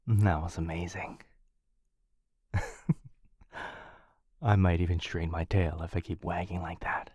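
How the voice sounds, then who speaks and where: A man voicing a shy, affectionate dog-person boyfriend in an ASMR roleplay, speaking softly and hesitantly, often close to a whisper, right up on the microphone. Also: low-pitched, fairly even intonation, slow pace, pauses often, dry recording, exaggerated voice. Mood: happy, giddy. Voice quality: soft, breathy, often dropping into whisper. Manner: hesitant, tender, intimate. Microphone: close microphone in a dry space.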